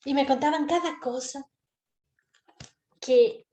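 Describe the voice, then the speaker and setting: A woman's voice: high-pitched, takes it slowly, dark tone, roomy sound. A woman teaching a storytelling workshop over a video call, earnest, repeating phrases for emphasis.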